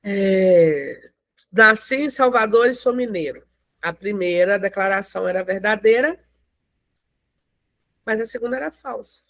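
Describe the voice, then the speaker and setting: A woman's voice: mid-range voice, expressive, no room sound, clean studio sound. A woman teaching a math lesson, explaining step by step and often checking in with her listeners. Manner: explains slowly with frequent check-ins. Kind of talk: classroom lecture.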